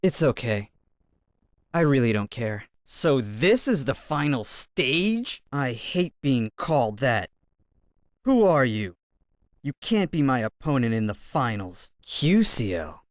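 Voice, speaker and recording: A cocky, brooding young male fighter from a fighting game. His short lines are delivered slowly and dramatically, with big swings in pitch. The recording is close and dry. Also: sing-song, no echo. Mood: awkward, annoyed. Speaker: video game voice actor. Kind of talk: fighting game character voice clips. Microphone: close dry studio recording.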